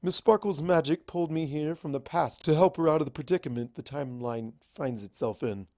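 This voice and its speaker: A man's voice: on the high side, fairly quick, darkish tone, no room sound, studio quality, natural voice. A man voicing a courteous, scholarly male pony wizard from the past, formal and explanatory, in a story reading. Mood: amused, courteous.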